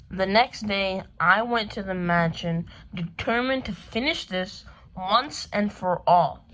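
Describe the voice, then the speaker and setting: A woman's voice: fairly low pitch, fairly bright tone, low-quality recording. A woman giving scripted narration as a detective's partner in an amateur radio play, with some noise and clicks on the recording.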